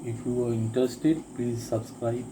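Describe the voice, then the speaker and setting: A man teaching a spoken lesson, reading each drill sentence twice with deliberate care. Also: medium pitch, monotone, background noise. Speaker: English teacher. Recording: crickets and insects chirping behind.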